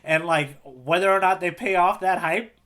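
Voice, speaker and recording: A man with a slightly high-pitched voice chats as a podcast co-host. He talks casually and jokingly, teasing in a mock-serious way. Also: normal speed, a bit bright. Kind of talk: casual comedic banter about manga.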